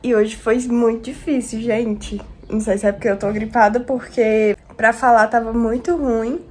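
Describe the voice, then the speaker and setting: Hoarse young woman vlogging with a cold. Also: on the high side, steady tone, moderate pace, darkish tone. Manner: chatty, affectionate, addresses viewers as friends. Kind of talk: casual vlog talk to camera.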